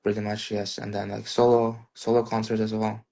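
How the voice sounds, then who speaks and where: A man's voice: lowish voice, little pitch movement, a little fast, vocal fry, dark tone. A man in his twenties from Uzbekistan, a native Russian speaker, chatting easygoing as a guest pianist over a phone live stream. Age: 20s. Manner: easygoing, self-deprecating, filler-heavy. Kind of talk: casual interview chat.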